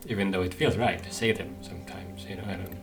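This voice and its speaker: A man's voice: low voice, fairly even intonation, fast, vocal fry. A man giving casual playthrough commentary, thinking aloud in a hesitant, musing way. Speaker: gaming video host. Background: game music.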